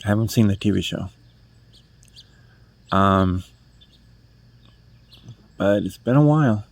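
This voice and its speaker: A man's voice: lowish voice, slow, vocal fry, no room sound. A man over fifty from New Hampshire with a New England accent, rambling through his first book vlog, self-deprecating and correcting himself as he goes. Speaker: first-time book vlogger. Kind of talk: casual rambling monologue. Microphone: phone held in hand.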